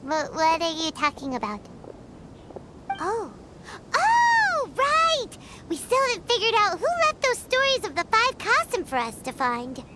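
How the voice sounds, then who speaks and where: A woman's voice: very high voice, wildly swooping pitch, measured pace, very noisy, full character voice. A woman voicing a tiny, childlike fairy companion in an anime-style video game, chatty, dramatic and calling herself by name. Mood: excited, surprised. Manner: refers to self by name in third person.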